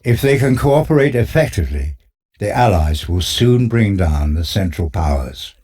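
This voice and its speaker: Deep husky guy, dramatic war narration, voice rises and falls a lot. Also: sing-song, normal speed, darkish tone. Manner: solemn, dramatic. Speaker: game intro narrator. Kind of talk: scripted documentary-style narration.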